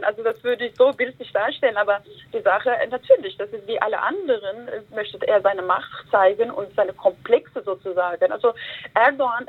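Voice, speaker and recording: A woman from Germany, a Kurdish feminist activist, answering interview questions earnestly with many hesitations over the phone. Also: mid-range voice. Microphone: telephone. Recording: tinny phone line.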